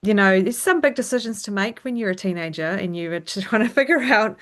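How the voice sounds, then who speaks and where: A woman hosting an interview, asking gentle, hesitant questions. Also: mid-range voice, a little fast. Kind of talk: conversational interview.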